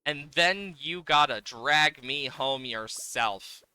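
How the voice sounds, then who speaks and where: A man's voice: fairly high pitch, animated, slow, bright, forceful tone, clear, resonant tone, dry recording. A man giving casual livestream game commentary, playfully exasperated, swearing and exclaiming at the game as he plays.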